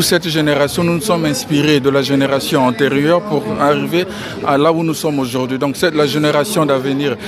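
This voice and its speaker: Congolese man, interviewee, measured delivery, slightly high voice, loud crowd around. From Republic of the Congo. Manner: measured, repeats key phrases. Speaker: interviewee, financial engineering expert. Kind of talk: outdoor press interview. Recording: crowd noise behind.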